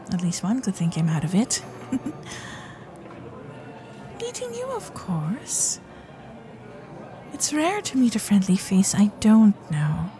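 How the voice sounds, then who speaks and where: A woman's voice: medium pitch, mellow. Woman playing a chatty, flustered young society heiress. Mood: warm, curious. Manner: flustered, hesitant, babbling, genteel and formal. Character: chatty, nervous young Gilded Age heiress, sweetly awkward, romantic. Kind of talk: romantic period audio roleplay, spoken intimately to listener.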